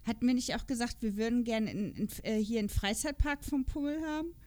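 A woman's voice: a little high, fast talker, darkish tone. A woman podcast host chatting casually in a talkative, rambling way. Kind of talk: casual chat.

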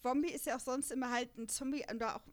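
A woman's voice: high-pitched, steady tone, quick pace. A young adult woman hosting a casual podcast, chatty and explaining at length with many fillers. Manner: chatty, many fillers, laughs between points. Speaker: podcast host. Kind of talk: casual podcast chat about an audio drama.

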